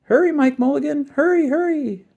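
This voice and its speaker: Man voicing an eager high-pitched little boy. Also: moderate pace, gravelly, mellow, lo-fi sound. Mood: eager, excited. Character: eager bright little boy with helpful ideas.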